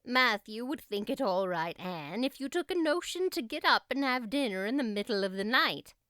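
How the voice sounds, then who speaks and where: Audiobook role: woman as no-nonsense older female guardian, clear, dry, scolding delivery. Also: fairly high pitch, sing-song, moderate pace, pushed and bright, dry recording. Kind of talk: audiobook character voice.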